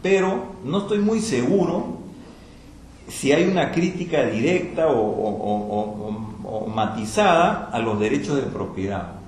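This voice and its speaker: A man's voice: mid-range voice, expressive, unhurried, darkish tone. A man commenting on a book at a public panel, speaking hesitantly, repeating words and adding rhetorical tag questions. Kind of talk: spoken commentary at a public event. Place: institute event hall. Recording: very echoey lecture room, faint noise.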